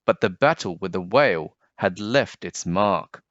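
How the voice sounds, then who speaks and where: A man narrating a children's picture book in an expressive, scripted read-aloud style. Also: low voice, a little slow, dry recording, muffled recording.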